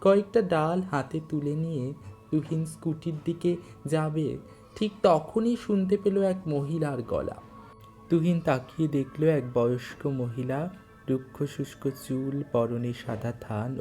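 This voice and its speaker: A storyteller narrating a scripted audio tale in an unhurried, literary way. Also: mid-range voice, mellow, soft background music.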